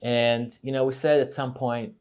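A man's voice: medium pitch, little pitch movement, normal speed, a little mellow, smooth. A middle-aged man, a native Hebrew speaker from Israel, talking casually as an interview guest with many fillers and restarts.

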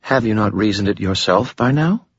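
A man voicing a serene, elegant female demon who speaks formally and with gentle amusement. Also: fairly low pitch, lively intonation, moderate pace, breathy edge, no room sound, muffled recording, voice pushed up.

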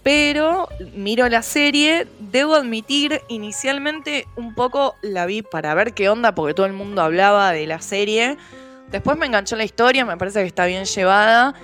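A woman's voice: a little high, animated, normal speed, fairly bright tone, faint music behind. A woman with a Rioplatense Spanish accent, co-hosting a casual podcast chat, slangy and full of fillers. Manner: chatty, slangy, frequent fillers. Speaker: podcast co-host.

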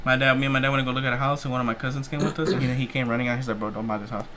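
A man chatting casually and telling stories in a slangy, animated way, swearing freely. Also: medium pitch, rapid-fire, a little hiss or hum. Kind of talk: casual chat, storytelling.

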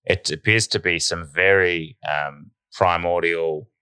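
A man as a very deep-voiced deadpan podcaster. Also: slow, clear, resonant tone, dry recording. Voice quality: nasal at times. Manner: deadpan, spinning a mock-serious tall tale. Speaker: podcast co-host. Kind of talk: casual podcast banter.

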